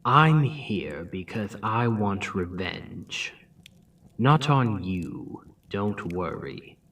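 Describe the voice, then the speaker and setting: A man voicing a proud, scheming male demon king back from death, in a soft-spoken ASMR roleplay with a mocking drawl that dips into whispers. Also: fairly low pitch, far from the mic, exaggerated voice. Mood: vengeful.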